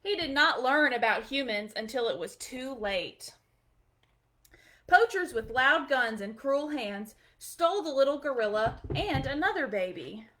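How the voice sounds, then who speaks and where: High-pitched woman reading a children's story. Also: expressive, a little slow, sharp tone. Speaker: library storytime host reading aloud. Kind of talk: read-aloud children's storybook with brief chatty asides.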